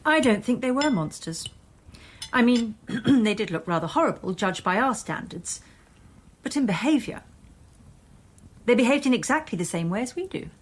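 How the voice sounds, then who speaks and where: A woman's voice: on the high side, expressive, normal speed, pauses often, slightly rough. An actress playing a frank, thoughtful woman in a period radio drama, speaking pointedly and reflectively.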